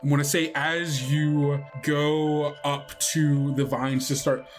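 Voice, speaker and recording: A podcast host and game master speaks at a middle pitch in a casual, playful way. The talk moves between teasing chat and improvised narration, with faint music behind. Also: flat delivery, slow pace.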